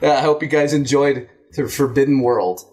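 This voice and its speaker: A man's voice: medium pitch, normal speed, a little mellow. A man hosting a movie review show in casual, joking chat with crude, bantering humor.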